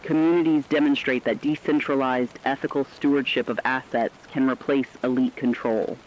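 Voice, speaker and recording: A man reading scripted radio news as an anchor in a formal, measured way. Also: mid-range voice, fairly even intonation, slight background noise. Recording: dull lo-fi radio sound.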